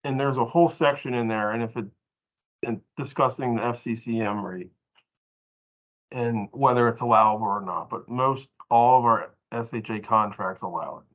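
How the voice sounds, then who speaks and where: A man explaining billing rates informally in a work meeting, halting and often correcting himself. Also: mid-range voice, a bit bright. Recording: dull, lo-fi online meeting audio.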